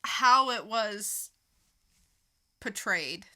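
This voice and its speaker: A woman's voice: medium pitch, lively intonation, extremely slow, bright, forceful tone. A woman co-hosting a podcast, chatting casually in a hesitant, informal way. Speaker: podcast co-host. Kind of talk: casual chat.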